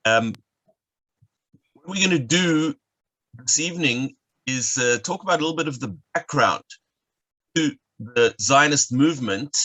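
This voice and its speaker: A man from South Africa with a South African accent, an educator giving a lecture over a video call, explaining in a brisk way with fillers. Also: medium pitch, pushed and bright, rough recording. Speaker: educator and guide. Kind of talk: online lecture. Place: home, at night.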